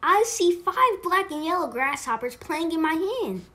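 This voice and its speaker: A voice actor playing an excited, eager little boy in a children's storybook read-aloud. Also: extremely high pitch, measured pace, full character voice. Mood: eager wonder. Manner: eager, exclamatory. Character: excited little boy on a trip to see grandpa.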